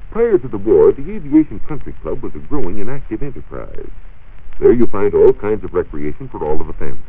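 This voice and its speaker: A man's voice: fairly low pitch, sing-song, fairly quick, husky, no echo. An American man working as a science reporter, giving a semi-scripted talk in 1940s radio style on an old broadcast recording. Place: radio studio. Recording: muffled, narrow-band 1940s radio recording.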